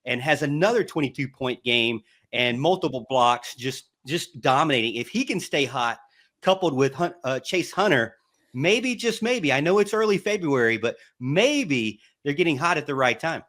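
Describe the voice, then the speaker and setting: A man's voice: on the high side, animated, moderate pace, fairly bright tone. Man hyping a team's hot streak. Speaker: podcast panelist. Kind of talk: casual sports talk.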